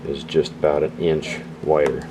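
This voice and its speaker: A man's voice: extremely low pitch, flat delivery, moderate pace, noisy background. A man giving a casual, unscripted product demonstration as a hobbyist reviewer, talking in a hesitant, rambling way.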